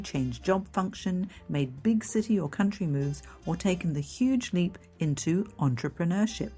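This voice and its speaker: A woman's voice: sits low, warm tone. A middle-aged woman from Hong Kong hosting a careers podcast, upbeat and enthusiastic as she interviews a guest. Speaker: podcast host, career coach. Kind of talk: scripted intro then interview chat.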